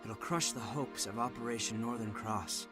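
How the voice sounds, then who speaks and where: A man's voice: on the low side, moderate pace, natural voice. Man acting young army lieutenant, grave n resolute, game cutscene, music behind him. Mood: grave, weary. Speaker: game voice actor playing a lieutenant and narrating. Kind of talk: dramatic scripted game dialogue and narration.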